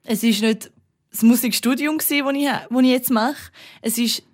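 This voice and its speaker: A woman's voice: fairly high pitch, fairly even intonation, normal speed. A woman speaking with a St. Gallen Swiss German accent, an enthusiastic radio presenter chatting casually and laughing often on a podcast.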